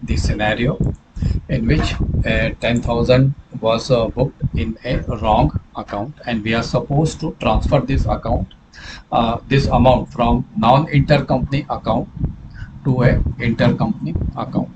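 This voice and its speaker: A man narrating a hands-on software tutorial as a trainer, speaking haltingly with repetition and frequent self-corrections. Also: mid-range voice, steady tone, muffled recording, heavy background noise.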